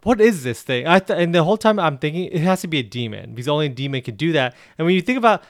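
A man's voice: fairly high pitch, big pitch swings, racing, dry recording, clean studio sound. A man co-hosting a podcast, explaining film details while thinking aloud in casual chat. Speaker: podcast co-host. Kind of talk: casual chat about films.

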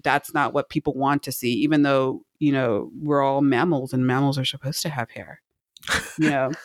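Earnest, halting woman chatting in a dry space. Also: very deep voice, steady tone, no room sound. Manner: halting, rambling, earnest explaining. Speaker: podcast co-host. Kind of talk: casual chat, reviewing a book.

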